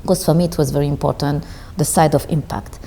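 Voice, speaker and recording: A woman in her forties, a company CEO, answering interview questions thoughtfully, with pauses and restarts. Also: low-pitched, fairly even intonation, dark tone, long reverb tail, a little hiss or hum. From Belgium, Rwanda and DR Congo. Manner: thoughtful, halting, with frequent restarts and uh's. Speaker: CEO of a solar company, guest.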